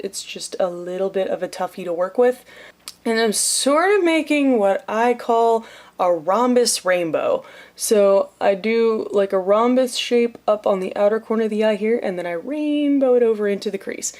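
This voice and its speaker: A young woman talking through a makeup tutorial in a chatty, playful way, sometimes dropping to a near whisper as she works. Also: mid-range voice. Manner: chatty, playful, self-deprecating. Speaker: beauty vlogger.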